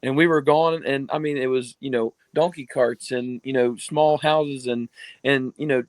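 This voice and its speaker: An American man speaks at a middle pitch, recorded close to the mic in a dry, dead space. He tells his stories in an easygoing way, full of "I mean" and "you know". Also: steady tone, moderate pace, no room sound. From United States. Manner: storytelling, many fillers like "I mean" and "you know". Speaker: podcast guest. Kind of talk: casual podcast conversation.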